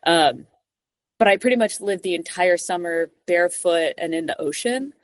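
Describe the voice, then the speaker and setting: Low-voiced thoughtful young woman guest. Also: expressive, moderate pace, no echo. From New York City. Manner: thoughtful, many "like" fillers and restarts. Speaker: podcast guest, mountain guide. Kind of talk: reflective interview answers. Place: office cubicle.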